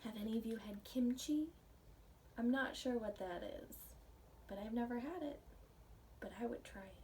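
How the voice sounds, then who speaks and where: A woman preschool teacher reading a picture book aloud in a gentle, simple teacherly way, pausing to put questions to young listeners. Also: on the high side. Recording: distant microphone, faint background noise.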